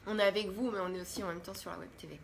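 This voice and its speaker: A woman's voice: mid-range voice, steady tone, very fast, creaky. A woman hosting a casual live-stream talk, chatty and greeting her viewers. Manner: chatty, warm greetings to viewers, many fillers. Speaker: host. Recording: faint background noise.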